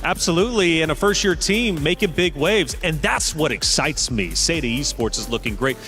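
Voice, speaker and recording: A man hosting an esports broadcast as caster, talking with lively, upbeat hype and drawn-out emphasis. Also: a little high, soft background music. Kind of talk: energetic play-by-play hype.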